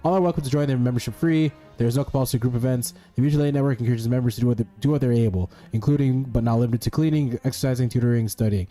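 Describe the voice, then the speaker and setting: Young guy gamer commentary, mellow voice, jokey slangy bro talk, soft music in back. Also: medium pitch. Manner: joking, slangy, reads game text aloud. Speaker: game streamer. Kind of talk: casual gaming commentary.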